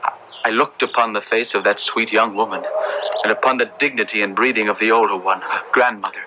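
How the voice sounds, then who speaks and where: A man's voice: on the low side, fairly even intonation, a little fast, lightly acted. A man playing an earnest, principled young clergyman in an old radio drama, speaking formally and with passion. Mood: troubled, earnest. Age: young adult. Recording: muffled, faintly noisy old radio recording.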